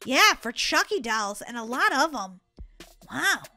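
A woman giving excitable game commentary as a video host, in a girlish, dramatic, exclamatory manner. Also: high-pitched, unhurried, faint music behind.

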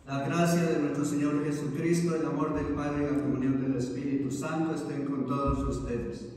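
A man preaching as a priest at Mass in a solemn, measured way, in a large church. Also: a little high, level tone, crowd noise. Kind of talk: sermon, reading and preaching. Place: large church interior, standing at the altar. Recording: echoey church hall, from far off.

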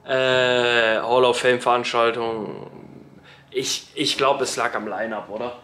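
A man's voice: medium pitch, fairly even intonation, slow pace, bright, forceful tone, faint music behind. A man in his thirties hosting a casual vlog, talking slangy and straight to viewers into a phone held at arm's length. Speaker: vlogger host. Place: arena crowd, then hotel room.

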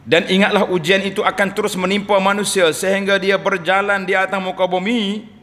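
Malay preacher with a Kelantan accent, echoing. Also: male voice, fairly quick. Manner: animated preaching, swinging between loud rises and low drops. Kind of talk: Friday sermon. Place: large mosque hall, indoors. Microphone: pulpit microphone on a stand. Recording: long reverb from a large mosque hall.

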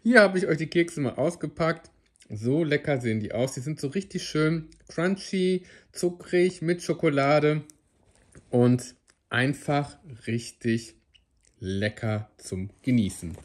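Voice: mid-range voice, takes it slowly. Upbeat vlogger chatting about snacks, jokey and friendly, talks right to the viewers. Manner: chatty and upbeat, addresses viewers as "ihr Lieben"; playful, jokes about own pronunciation. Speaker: food reviewer vlogger. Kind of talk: casual product review to camera.

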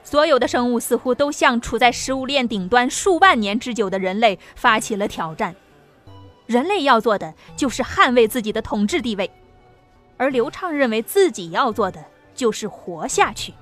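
Higher-pitched woman narrating an audiobook. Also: animated, moderate pace, fairly bright tone, soft background music.

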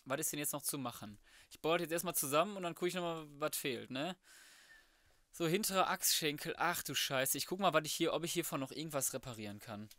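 A man's voice: fairly high pitch, expressive, quick pace, sharp tone, no room sound. A young man commenting casually on his gameplay, thinking aloud in slangy, colloquial speech. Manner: colloquial, slangy, muttering to himself. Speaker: let's player.

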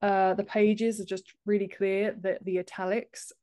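A woman's voice: mid-range voice, level tone, unhurried, mellow. Woman chatting as a podcast guest. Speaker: podcast guest, author and book formatter. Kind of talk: casual interview chat. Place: home office room, indoors, on a video call.

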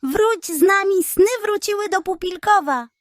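A woman voicing a friendly animal pal in a children's storybook, coaxing and excited. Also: extremely high pitch, a little slow, no room sound, clean studio sound, pitched above the performer's own voice.

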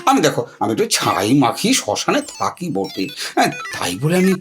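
A woman's voice: very deep voice, wildly swooping pitch, a little fast, exaggerated voice. A woman playing a coaxing, playful old Shiva in an audio drama, repeating words as he wheedles. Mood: coaxing, pleading. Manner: wheedling, stammering repetitions of words. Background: music.

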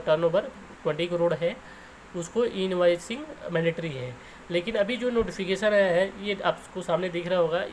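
A man's voice: high-pitched, a little hiss or hum, poor audio. A man giving an informal explainer, going over points step by step. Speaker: YouTube explainer host.

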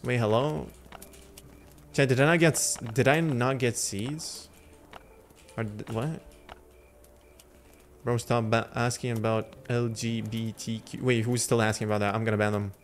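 A man's voice: mid-range voice, steady tone, a little slow, pauses often, a little hiss or hum. A young man whose first language is Lithuanian, chatting loosely with his live chat while he plays, talking into a headset. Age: young adult. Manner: rambling, slangy, answers chat between game moves. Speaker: livestreamer. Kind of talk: casual livestream chat while gaming. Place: home streaming room, indoors.

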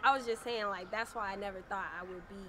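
A young woman rapper in her twenties, talking casually and soft-spoken with laid-back slang, interviewed outdoors beside a parked car. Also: medium pitch, steady tone, fast. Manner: soft-spoken, laid-back slang, frequent "you know what I'm saying". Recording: outdoor street noise.

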